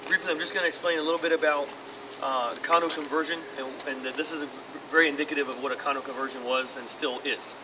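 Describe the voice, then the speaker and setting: A man's voice: fairly high pitch, fairly even intonation, fast talker. A man touring a property, explaining in a rambling way how condo conversions work, often restarting his words. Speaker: real estate agent explaining condo conversions. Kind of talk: casual explanation on a property tour. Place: apartment complex outdoors, then a condo unit. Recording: distant voice with background noise.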